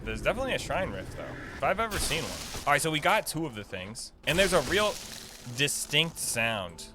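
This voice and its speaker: A man's voice: on the high side, big pitch swings, fairly quick, background noise. A young man giving casual live gameplay commentary, thinking aloud in a slangy, playfully cocky way. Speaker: gaming video creator giving a quest guide.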